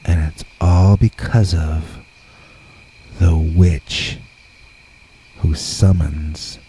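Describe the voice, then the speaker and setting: A man narrating a scripted scary story deliberately, with dramatic pauses. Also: low voice, fairly even intonation, mellow, slight background noise, poor audio. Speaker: host and narrator of scary stories.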